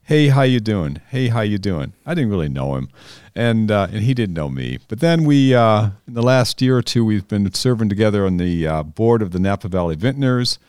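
A man's voice: fairly low pitch, big pitch swings, moderate pace, few pauses, darkish tone, clean tone, no room sound, studio quality. A man from the Chicago area hosting a wine podcast, friendly and joking as he chats with a guest. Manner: friendly, upbeat, jokey. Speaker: podcast host and vintner. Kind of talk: casual interview chat.

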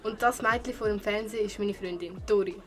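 A woman's voice: medium pitch, steady tone, fairly quick, natural voice. Young woman as chatty gushy teen girl, english slang mixed in, party radio play, music behind. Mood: friendly, gushing. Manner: gushing slang with English words. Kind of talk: amateur radio drama dialogue.

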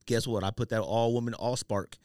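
A man's voice: medium pitch, fairly even intonation, fairly quick, darkish tone, smooth, no room sound, studio quality. A man hosting a casual sports and sneaker podcast, talking in slangy, teasing banter with friends. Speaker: podcast host.

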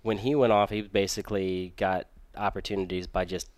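A very low-voiced man recounting his past in an easygoing, rambling way, full of fillers. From Michigan and raised in Virginia, he sounds close and dry, with no room around him. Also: little pitch movement, a little fast, a little mellow, no echo. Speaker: guest race car driver. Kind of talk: casual podcast interview.

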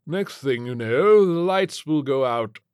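A stuffy, wealthy gentleman, acted by a male narrator, raised in pitch. He grumbles formally, a pompous storybook character in a dry, close recording. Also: lively intonation, measured pace, smooth, dry recording, lightly acted. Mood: grumbling.

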